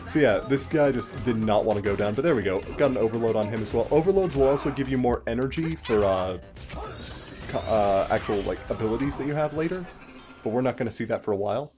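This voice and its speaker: A man's voice: mid-range voice, animated, fast. Guy doing let's play commentary, explains stuff casually, bit sweary, game music behind him.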